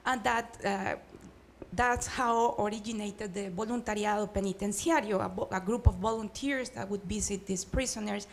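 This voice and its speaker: Middle-aged Colombian woman with an accent, measured panel talk, mid pitch, faint room hiss. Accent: Colombian Spanish. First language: Spanish. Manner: earnest, measured, frequent hesitations. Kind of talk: panel discussion. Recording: faint background noise.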